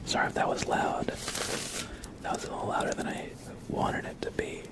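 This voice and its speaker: A soft-spoken man whispering gently and politely in a casual ASMR livestream, chatting with viewers and reading cards. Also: normal speed.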